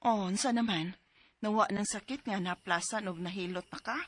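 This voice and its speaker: A woman's voice: mid-range voice, moderate pace, close to an everyday voice. A woman acting an anxious, fussing middle-aged mother with a Cebuano accent in a radio drama, exclaiming as she goes. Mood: alarmed.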